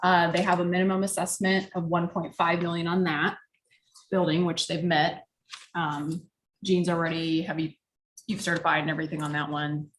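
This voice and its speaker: A soft-voiced woman with a Midwestern American accent giving a city staff update on development agreements, in a conversational, apologetic way. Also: lowish voice, little pitch movement, moderate pace, slight background noise. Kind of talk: reading a staff report.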